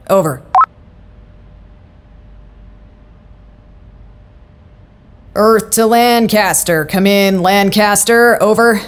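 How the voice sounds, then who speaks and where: A woman playing an exasperated, no-nonsense supervisor in an audio drama, speaking in a dry, businesslike, sometimes halting way. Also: medium pitch, background noise, close to an everyday voice. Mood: brisk. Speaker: voice actor in a fiction podcast.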